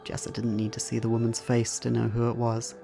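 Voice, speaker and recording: A man's voice: mid-range voice, monotone, fairly quick, vocal fry, music in the background. A man reading scripted narration for an audio drama as its narrator.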